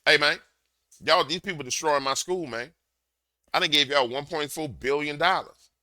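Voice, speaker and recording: A man with a big booming voice doing a slangy, heated reaction rant into a desk microphone. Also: normal speed, dry recording, clean studio sound. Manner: loud, slangy, repetitive, heated. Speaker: commentator reacting to a clip. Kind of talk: ranting reaction commentary.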